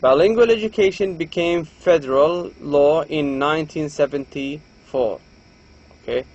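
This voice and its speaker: A man's voice: a little high, a little slow, darkish tone, muffled recording. A man from Saudi Arabia with a Saudi Arabic accent, a native Arabic speaker, giving a recorded reading lecture to students, reading a textbook aloud and explaining with frequent restarts. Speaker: university English lecturer.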